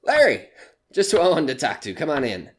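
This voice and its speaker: A man's voice: fairly high pitch, wildly swooping pitch, a little fast, a little hiss or hum. A man playing a desperate, nervous small-time comedian in an audio horror drama, stammering and pleading. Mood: nervously eager.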